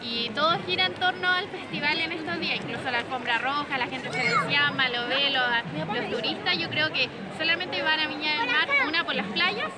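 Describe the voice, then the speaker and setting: A woman answers questions on the street, thoughtful and a little halting, with a high voice. Heavy crowd and street noise surround her. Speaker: passer-by interviewee.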